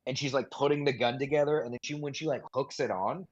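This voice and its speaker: A man's voice: medium pitch, quick pace, breathy edge, no echo. A man co-hosting a podcast, chatting casually and informally. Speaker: podcast co-host. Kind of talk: casual chat. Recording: dull, slightly lo-fi microphone.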